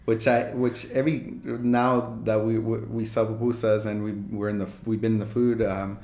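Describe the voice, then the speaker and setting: A man's voice: on the low side, level tone, moderate pace, a little mellow, roomy sound. A man who co-founded a food truck, chatting casually as a guest and often restarting his sentences. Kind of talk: casual interview talk.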